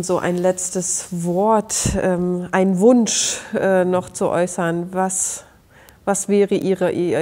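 A woman in her thirties hosting a studio interview, filling pauses with many hesitations. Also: lowish voice, dark tone. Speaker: talk show host.

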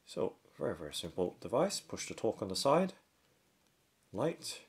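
A man giving a casual hands-on product demonstration, talking step by step in short, halting phrases. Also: fairly low pitch, very animated. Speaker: product reviewer.

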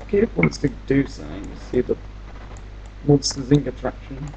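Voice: mid-range voice, sing-song, moderate pace, gravelly, lo-fi sound, a crowd in the background. A gamer giving casual commentary on their own play, muttering to themselves and often repeating phrases. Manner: mumbling to self, repeating phrases.

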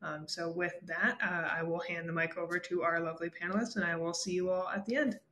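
A woman's voice: on the low side, fairly even intonation, sharp tone, a little hiss or hum. A woman introducing an online panel over a video call, upbeat with frequent ums. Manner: quick, upbeat with filler ums. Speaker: event host.

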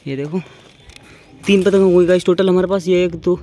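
A man's voice: high voice, steady tone, moderate pace, dark tone. A young man giving casual, repetitive running commentary to his viewers, speaking informally. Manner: informal, addresses viewers as friends, repeats phrases. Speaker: vlogger. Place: outdoors on open rooftops. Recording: noisy open-air handheld recording.

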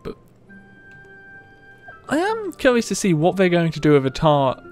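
A man's voice: sits high, huge pitch swings, unhurried, a little mellow. A man giving casual commentary over a video game. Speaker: let's play commentator. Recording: game music behind the voice. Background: video game music.

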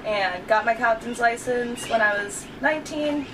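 A woman in her twenties from Saipan, a boat owner and captain, answering interview questions in a relaxed way inside a small boat cabin. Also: a little high, normal speed. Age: 20s. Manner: relaxed, laid-back with fillers. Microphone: camera across the table. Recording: distant camera mic with background noise.

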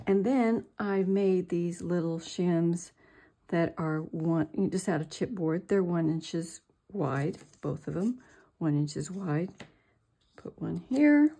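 A woman's voice: fairly low pitch, dark tone. An older woman giving a chatty, step-by-step craft demonstration at her work table. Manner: slow, chatty, self-deprecating. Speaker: craft tutorial host.

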